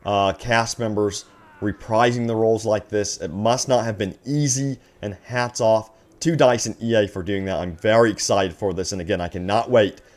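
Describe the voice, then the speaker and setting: A man's voice: on the low side, normal speed. A man hosting a gaming news video, speaking enthusiastically to viewers and reading out announcements. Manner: excited, addresses viewers as guys. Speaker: gaming news channel host.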